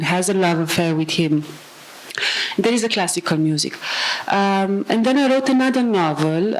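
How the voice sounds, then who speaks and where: A woman, an author talking about her novels, often pausing and restarting as she hunts for the right English word. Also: medium pitch, rough recording. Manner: searching for words, many fillers and restarts. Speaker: author presenting her work. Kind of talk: public talk and answering questions.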